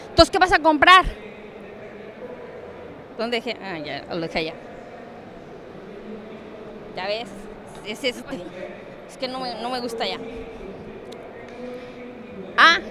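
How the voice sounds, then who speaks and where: Animated high-voiced Mexican woman lecturing. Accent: Mexican Spanish. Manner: animated, joking, asks audience questions. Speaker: conference speaker on international trade. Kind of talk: lively conference talk with props and asides. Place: conference hall.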